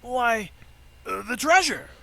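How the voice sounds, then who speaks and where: A man's voice: very high voice, expressive, slow pace, vocal fry, pushed and bright, no room sound, pitched above the performer's own voice, full character voice. A man voicing a chubby, friendly, gossipy gas station clerk in a folksy, teasing way for a visual novel. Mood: excited, teasing.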